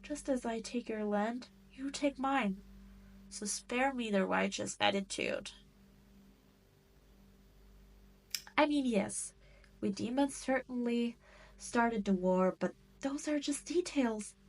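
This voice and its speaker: A woman voicing a cruel, haughty demon queen in a roleplay audio drama, with a teasing, condescending manner. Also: on the high side. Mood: dismissive, exasperated. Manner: slow, teasing, condescending drawl with theatrical emphasis.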